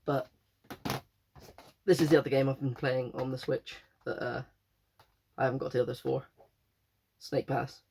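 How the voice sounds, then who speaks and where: A man's voice: medium pitch, flat delivery, moderate pace, vocal fry, long pauses, darkish tone, a little hiss or hum. A young man with a cold, chatting casually and rambling about his latest finds, often correcting himself. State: croaky with a cold. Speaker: game collector vlogger. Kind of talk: casual chat.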